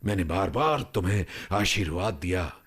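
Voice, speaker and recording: An actor playing a benevolent, pious elderly village grandfather in a Hindi radio drama, speaking in a measured, blessing manner. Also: sits low, animated, fairly bright tone, breathy edge, exaggerated voice. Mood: gentle, approving. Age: older. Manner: slow, measured, blessing-giving.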